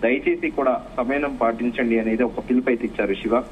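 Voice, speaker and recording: Dull-sounding male news correspondent, halting. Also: mid-range voice, steady tone. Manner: halting delivery with repeated filler sounds. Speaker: news correspondent reporting live. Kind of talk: live unscripted news report. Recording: dull, muffled live link with faint noise.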